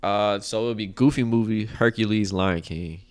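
A man's voice: lowish voice, normal speed, clear, resonant tone. A man co-hosting a podcast, laid-back and easygoing in casual chat. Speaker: podcast co-host.

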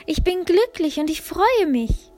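A joyful little girl from a storybook calls out in a high, bright voice, as a woman puts her on. She speaks slowly and brightly, with gentle music faint behind her. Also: sing-song, full character voice. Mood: happy.

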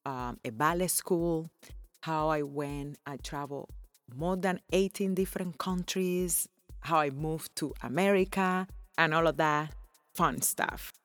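A woman's voice: deep voice, measured pace, no room sound, faint music behind. A woman in her forties from Cuba, speaking, hosting her own podcast in an effusive, earnest way and laughing often. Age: 40s. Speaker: podcast host. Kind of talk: personal podcast monologue.

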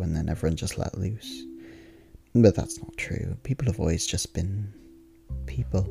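Guy acting as a deep voiced rambly asmr roleplay dude, friendly n wry, bit gravelly, music behind. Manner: conversational, wry, hesitant asides. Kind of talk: ASMR roleplay monologue, casual rambling storytelling.

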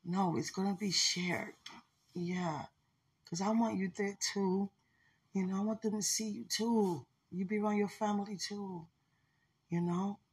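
A woman's voice: medium pitch, fairly even intonation, measured pace, husky. A woman podcast host giving informal, rambling faith talk, speaking intimately, as if to someone she loves. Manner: speaks intimately, as if to a loved one.

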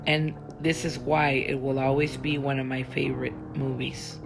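A woman's voice: a bass voice, little pitch movement, moderate pace, vocal fry, music in the background, rough recording. A woman reading as an indignant, protective woman friend, speaking a bitter, fuming inner monologue.

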